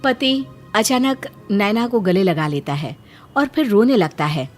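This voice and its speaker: A woman reads a scripted story as its narrator. Her voice sits at a middle pitch, with music playing behind it. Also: animated, a little slow.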